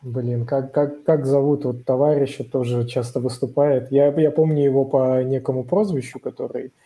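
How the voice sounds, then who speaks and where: A man's voice: medium pitch, level tone, moderate pace, dark tone. A man co-hosting a podcast in casual chat, making jokey asides and groping for names. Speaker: podcast co-host.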